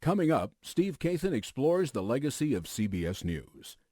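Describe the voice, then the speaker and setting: A man's voice: mid-range voice, very animated, normal speed, mellow, a little hiss or hum. A man hosting a radio special with scripted narration.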